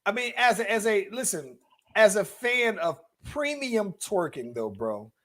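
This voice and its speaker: Male podcast co-host, high voice, rambling casual talker prone to restarts. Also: very animated, unhurried, fairly bright tone. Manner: rambling, many restarts and repeated words. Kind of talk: casual chat.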